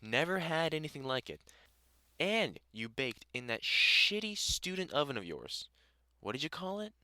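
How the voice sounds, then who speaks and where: A man's voice: on the high side, very animated, normal speed, bright, forceful tone, no echo, exaggerated voice. A British man playing a dry, sarcastic vampire hunter in a conversational audio roleplay, chatting informally to the listener. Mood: teasing, amused. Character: gruff, sardonic but welcoming male vampire hunter.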